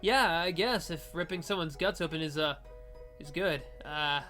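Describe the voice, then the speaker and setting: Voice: mid-range voice, normal speed, sharp tone, soft background music, lightly acted. A game player voicing an awkward, easily flustered teenage boy in a hesitant, stammering way. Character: Nick, awkward easily flustered teenage boy, recent high school grad. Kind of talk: visual novel voice-over, dramatized reading.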